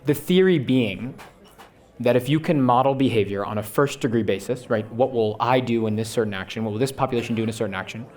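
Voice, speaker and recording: Young male founder, rapid enthusiastic pitch on a conference stage, keeps checking in with "right?". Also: darkish tone. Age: young adult. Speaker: startup founder on a panel. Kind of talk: fast, enthusiastic pitch talk. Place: conference stage before an audience.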